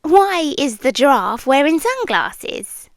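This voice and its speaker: A woman's voice: high-pitched, very animated, dry recording, studio quality, full character voice. A British woman playing a lonely, obsessive, affectionate stalker girl in an intimate ASMR roleplay, speaking tenderly and breathily, slipping into whispers. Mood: playful, fond. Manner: slow, breathy, tender, with pauses and sighs. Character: lonely obsessive bodiless young female stalker, possessively affectionate. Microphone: close microphone.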